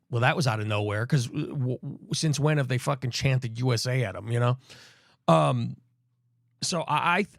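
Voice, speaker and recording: Gravelly, rambling man talking wrestling. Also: medium pitch, dry recording, clean studio sound. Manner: rambling, heavy swearing and fillers. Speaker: podcast co-host. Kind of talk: casual wrestling chat.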